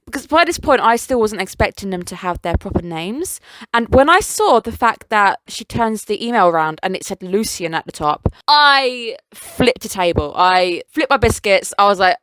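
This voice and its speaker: A woman's voice: medium pitch, moderate pace, no echo, rough recording. Gushing young woman reviewing anime. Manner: gushing, excitable fan, rambling with self-corrections. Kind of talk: casual solo review monologue.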